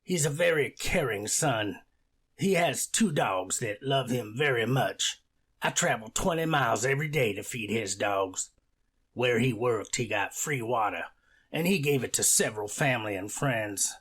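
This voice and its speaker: A man's voice: mid-range voice, animated, normal speed, a bit bright, breathy edge. A man playing a devoted 79-year-old mother giving an earnest, plain statement in a court reenactment. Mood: earnest, caring. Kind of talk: amateur readers theater court transcript reenactment.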